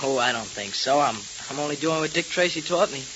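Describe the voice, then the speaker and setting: Brave earnest boy, fairly high, bright forceful delivery, old radio serial, dull hissy sound. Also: expressive, a little fast. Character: earnest, law-abiding brave boy sidekick. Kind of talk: 1930s radio acting. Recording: hissy, muffled 1930s radio transcription.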